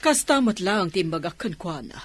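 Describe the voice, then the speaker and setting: A woman's voice: on the low side, very animated, brisk, husky, no room sound. An actress playing a composed middle-aged mother of the bride in an Ilocano radio drama, with an Ilocano accent. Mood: approving.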